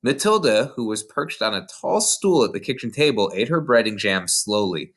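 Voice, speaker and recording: An adult reading a children's novel aloud as expressive scripted narration. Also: medium pitch, moderate pace. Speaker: storybook reader.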